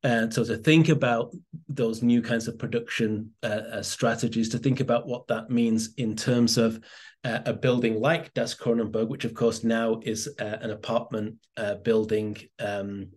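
A man's voice: on the low side, fairly even intonation, normal speed, darkish tone. A man giving an academic talk on a video call, hesitant, with many fillers and restarts. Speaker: presenter.

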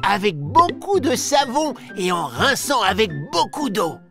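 Creaky, mischievous little cartoon virus. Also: female voice, on the high side, very animated, a little slow, fairly bright tone, heavily acted. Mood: sly, playful. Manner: teasing, theatrical, exaggerated. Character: mischievous boastful little virus villain, playful with children. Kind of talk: animated educational narration for children. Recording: music behind the voice.

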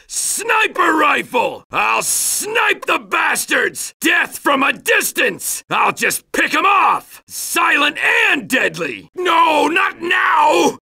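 A man's voice: very high-pitched, creaky, bright, forceful tone, exaggerated voice. A man playing a brash, aggressive, foul-mouthed American Marine in a combat video game, exclaiming his lines. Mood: eager, aggressive, then panicked.

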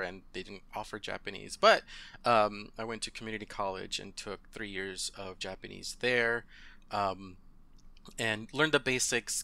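Gravelly, bright-toned man chatting casually. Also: lowish voice. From United States. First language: Spanish. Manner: rambling with many ums, laughs often. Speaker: podcast guest. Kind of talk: casual interview chat.

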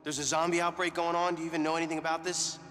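A man's voice: a little high, little pitch movement, rapid-fire, fairly bright tone, slight background noise, lightly acted. An actor playing an earnest, uneasy young man, a reluctant video game hero who speaks hesitantly. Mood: urgent, insistent. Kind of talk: video game cutscene acting. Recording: echoey hall with music behind.

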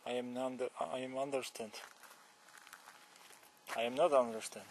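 A man's voice: medium pitch, steady tone, slight background noise. A young man with a Polish accent, a cyclist speaking broken English while joking in a vlog, outdoors on a country path and filmed with an action camera. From Poland. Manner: playful, broken English, slow and exclaiming. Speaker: cyclist vlogger. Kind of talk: joking phone-call vlog. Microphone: action camera on helmet or bike.

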